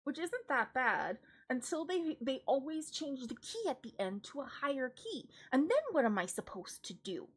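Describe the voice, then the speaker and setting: A woman's voice: very animated, moderate pace, few pauses, husky. A young woman, a classical singer streaming live, chatting casually with lots of restarts and giggly asides. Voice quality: high singing voice. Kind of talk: casual stream chat, then singing along.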